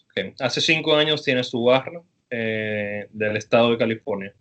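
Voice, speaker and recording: A man, a professor, interviewing a guest attorney for his students in an easygoing way, with frequent pauses and fillers. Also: mid-range voice, steady tone, fairly bright tone. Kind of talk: class interview over video.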